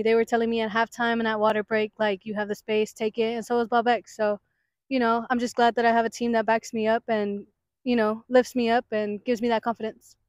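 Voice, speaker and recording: A woman's voice: a little high, no echo. A young woman, a college soccer player, talking casually in a post-game interview on a field at night, filling with "like" and "you know". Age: young adult.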